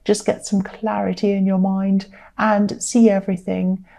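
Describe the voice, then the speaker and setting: A tired woman with a slightly croaky voice, giving a conversational tarot reading to viewers in an earnest, gently advising way, repeating phrases for emphasis. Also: mid-range voice, little pitch movement, a little slow. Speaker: tarot reader.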